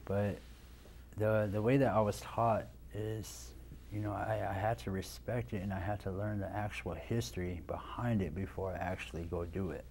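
Low, mellow-voiced man, easygoing and rambling. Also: steady tone, normal speed, a little hiss or hum. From Nebraska, USA. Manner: easygoing, rambling with many restarts and "you know". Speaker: guest, dance instructor. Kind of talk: casual interview talk. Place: TV studio set, indoors. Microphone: lapel mic.

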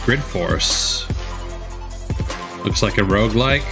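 A man's voice: lowish voice, slow, music in the background. A man giving casual live commentary as a streamer reacting to a games showcase.

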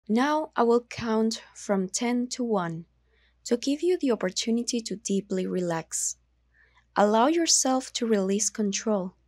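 Female meditation narrator, mid-pitched, very measured and soothing, slow delivery with room to breathe. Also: no echo. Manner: soothing, slow, measured instructions with long pauses. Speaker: meditation guide.

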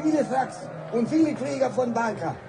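High-voiced, dignified Chinese gentleman. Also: moderate pace, over music. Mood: stoic, polite. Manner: stilted clipped phrasing, speaks of himself in third person. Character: dignified Chinese man, formal and gloomily polite. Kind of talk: satirical radio play acting.

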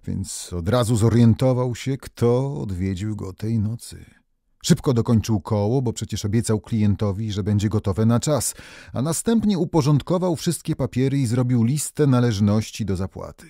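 A man's voice: lowish voice, dark tone, no echo, studio quality. A man narrating folk tales as a storyteller, reading from a script. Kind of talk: scripted fairy-tale narration.

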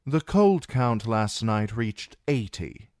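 Sarcastic tired knight, a guy putting it on, higher and kinda strained. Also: expressive, takes it slowly, darkish tone, no echo, clean studio sound. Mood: arguing. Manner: sardonic, reasoning. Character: weary pragmatic young male knight, sharp-tongued.

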